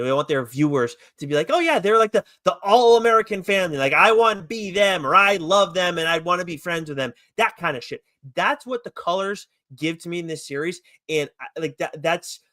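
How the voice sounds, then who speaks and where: Higher-pitched man rambling enthusiastically in dry sound. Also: a bit bright, dry recording. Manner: rambling, enthusiastic, casually profane. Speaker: podcast co-host reviewing comics. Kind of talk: casual chat review.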